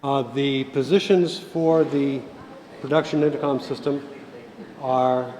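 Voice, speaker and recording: A man giving an informal hands-on technical tutorial as an instructor, speaking deliberately and methodically with pauses mid-sentence. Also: mid-range voice, steady tone, a little mellow. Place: indoor set room by a theater stage. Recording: roomy echo with faint background noise.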